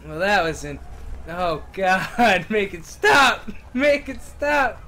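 Male let's-play host, high and animated, excitable and sarcastic, faint hiss behind. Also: slow. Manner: loud, excitable, sarcastic, swears freely. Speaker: game streamer.

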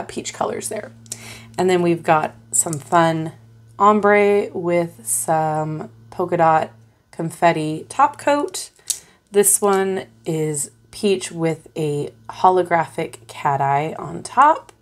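A young adult woman hosting her own channel, chatting casually and upbeat while her voice is still strained from recovering. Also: lowish voice. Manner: chatty and upbeat, bubbly enthusiasm. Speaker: tarot channel host. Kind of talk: casual vlog talk to camera.

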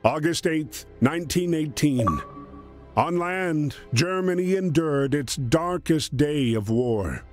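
A man narrating a war story in a video game, reading a script in a measured, solemn storyteller's manner. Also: on the high side, lively intonation, soft background music.

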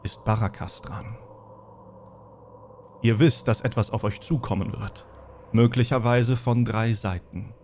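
A man running a tabletop game as game master, explaining and narrating in a deliberate way. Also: on the low side, fairly even intonation, darkish tone, slight background noise.

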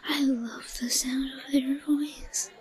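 Woman doing a shy anxious yandere schoolgirl, high voice, really slow and stuttery, breathy audio roleplay. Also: level tone. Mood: tearful, infatuated. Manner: stammering, hesitant, breathy murmuring. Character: timid, socially anxious, lovestruck yandere schoolgirl. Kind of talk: intimate audio roleplay acting.

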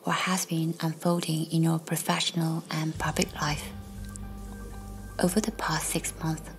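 Soft, breathy woman guiding a slow meditation. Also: low-pitched, little pitch movement. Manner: gentle, measured, hushed delivery with long pauses. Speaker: guided meditation narrator. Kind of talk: slow, soothing guided meditation, scripted narration. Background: faint music.

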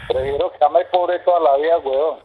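A Colombian man with a paisa accent speaks in a somewhat high voice. He is insistent and very colloquial, chatting in street slang. The phone call is noticeably noisy. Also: steady tone, a little fast. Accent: Colombian, Medellín paisa. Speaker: prank call target. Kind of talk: casual slangy phone conversation.

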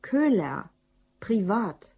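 An actress playing a curious, businesslike office colleague in a German crime radio play. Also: female voice, mid-range voice, very animated, extremely slow, vocal fry, dark tone, slightly rough, heavily acted.

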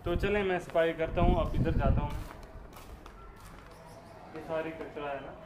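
A man's voice: on the high side, fairly even intonation, measured pace, heavy background noise. A young man casually chatting to viewers in a pet vlog, tired from the heat and cleaning work, recorded outdoors on a camera mic. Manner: chatty, addresses viewers as friends. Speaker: pet vlogger. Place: outdoor rooftop in summer heat. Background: background music.

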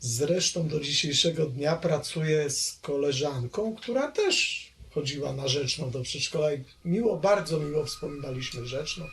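An older man from Poland recalling his childhood in an oral history interview, hesitant and halting, with many fillers. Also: on the high side, lively intonation, gravelly, a bit bright.

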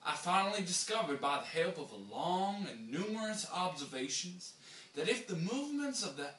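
A young man with a bright, swooping voice reads a formal old text theatrically. His phrasing halts and restarts for effect. The sound is roomy and distant, from a camera across a small room. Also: high-pitched, very animated, normal speed. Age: young adult. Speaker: reader taking turns in an informal group reading. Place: small bare room indoors. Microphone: camera mic from a few metres.

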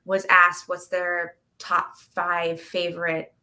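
A woman's voice: on the low side, completely flat delivery, slow, pushed and bright. A middle-aged woman, a band musician, chatting casually as an interview guest on a video call. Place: indoor room, video call.

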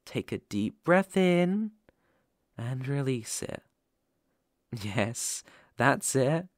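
A British man playing a gentle, protective lone werewolf in an ASMR roleplay, speaking haltingly and reassuringly into a close microphone. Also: mid-range voice, very animated, dry recording. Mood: soothing. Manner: soft-hearted, reassuring, halting and pausing. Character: gentle, protective male lone werewolf living in a forest cabin.

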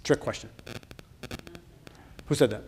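A man's voice: unhurried, darkish tone, a little hiss or hum. A middle-aged man, a state senator, giving a casual budget presentation and quizzing his audience in a large function room. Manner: casual, asks the audience questions. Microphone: far camera. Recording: long reverb in a large room.

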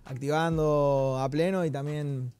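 A man's voice: fairly high pitch, fairly even intonation, measured pace, a little mellow, smooth. A man in his thirties with an Argentine accent, a rapper guest talking about upcoming shows in a laid-back way. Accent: Argentine Spanish. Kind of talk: casual interview answers. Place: TV studio set.

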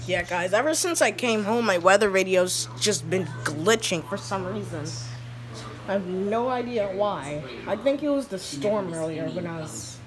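A woman's voice: medium pitch, expressive, normal speed, crowd noise, low-quality recording. An American woman chatting casually to viewers in an informal, hesitant vlog style, recorded on a handheld phone. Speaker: vlogger. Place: home, between porch and indoors. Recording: loud background noise on handheld phone.

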